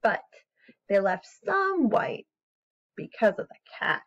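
A woman in her thirties with an expressive, singsong manner, talking to young children as an educator. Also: medium pitch, slow pace. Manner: expressive, singsong, encouraging young listeners to join in. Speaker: children's educator and story reader. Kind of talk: animated read-aloud and casual craft demonstration for young children. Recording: slightly dull webcam recording.

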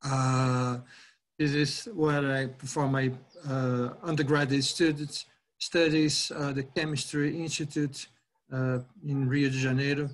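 A middle-aged man from Brazil with a Brazilian Portuguese accent, giving a halting academic webinar talk over a video call with many fillers and restarts. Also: mid-range voice, flat delivery. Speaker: guest lecturer.

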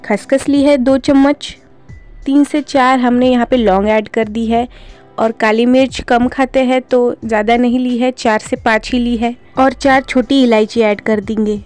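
Woman walking you thru a recipe, higher voice but mellow, soft music behind. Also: measured pace. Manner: calm, instructive, homely explanations. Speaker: cooking channel host. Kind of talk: step-by-step recipe voice-over.

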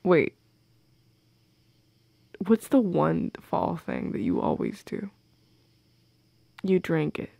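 A young woman in an intimate girlfriend audio roleplay, speaking softly and close to the mic with playful, teasing affection. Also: lowish voice, wildly swooping pitch, slow, creaky, pauses often, no room sound. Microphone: close microphone, dry.

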